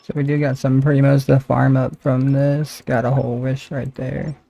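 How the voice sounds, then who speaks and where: A man's voice: medium pitch, little pitch movement, normal speed, gravelly, mellow. A man livestreaming a game, chatting casually with viewers in a chummy, slangy way. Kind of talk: casual game stream chat.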